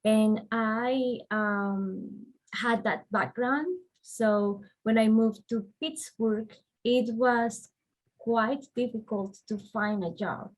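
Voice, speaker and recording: Woman, Colombian accent, interview guest, thoughtful, unhurried explaining, soft "uh" fillers. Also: on the high side, fairly even intonation, poor audio. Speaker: guest, director of refugee and immigrant services. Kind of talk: conversational interview answers.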